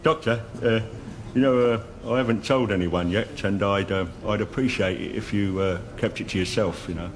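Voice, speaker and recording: An actor playing a grumbling, officious, self-pitying boss in a sitcom. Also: male voice, a little high, lively intonation, a little fast, fairly bright tone, a little hiss or hum. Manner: officious, complaining. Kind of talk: broad sitcom acting.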